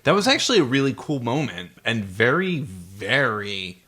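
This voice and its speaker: A man's voice: medium pitch, very animated, normal speed, a bit bright. Man casually quizzing on movies. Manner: encouraging, prompting with questions. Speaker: podcast co-host, parent talking with child. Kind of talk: casual movie discussion with a child.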